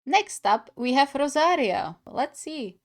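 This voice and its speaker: A woman's voice: sits high, expressive, slow. A young adult woman giving casual, musing reaction commentary as a gaming host.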